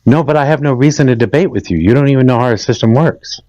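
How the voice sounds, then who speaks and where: A man's voice: mid-range voice, fast talker, dull audio, a crowd in the background. A man on a debate panel, combative and demanding, who repeats his questions.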